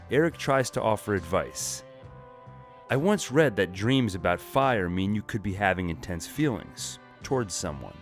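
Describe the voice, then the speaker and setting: A man giving a scripted, dramatized narration of a comic story. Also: fairly low pitch. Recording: music bed behind the voice.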